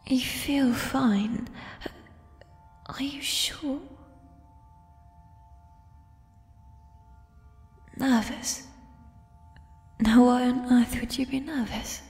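Woman playing a slow, whispering giant troll girl. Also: fairly high pitch, in a large hall. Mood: tender, teasing. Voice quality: soft, breathy whisper. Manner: unhurried, formal, old-fashioned phrasing, coaxing. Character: giant female mushroom troll, doting, possessive, gently dominant. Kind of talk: whispered ASMR roleplay. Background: fantasy ambience music.

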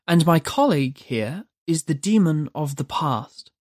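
A storyteller voicing a delicate, composed female angel of the future who speaks in a measured way. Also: medium pitch, expressive, no echo, studio quality. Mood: calm.

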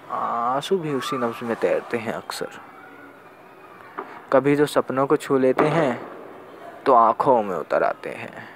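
A young man reciting spoken-word poetry in a deliberate, dramatic manner, with weighted pauses and repeated phrases. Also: medium pitch, slight background noise. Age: young adult. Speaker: student poet.

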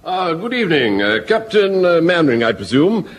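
A hearty high-voiced army brigadier. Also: male voice, big pitch swings, normal speed. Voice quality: hearty, hesitant with ums. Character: genial blustering male army brigadier. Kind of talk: 1970s radio sitcom acting. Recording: old radio recording with faint hiss.

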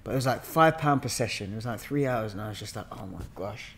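A man's voice: lowish voice, quick pace, gravelly. A man in his thirties with a London accent, telling slangy, joking anecdotes in casual conversation. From Hackney, London, England. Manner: joking, slangy, animated storyteller. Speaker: podcast guest, actor. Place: indoor studio room with sofa. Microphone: podcast mic on boom arm.

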